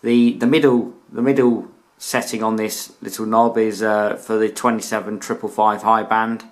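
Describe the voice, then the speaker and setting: A man's voice: lowish voice, little pitch movement, normal speed. Chatty British man reviewing gear. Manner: chatty, informal British slang. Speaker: radio hobbyist reviewing his collection. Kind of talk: casual video product review.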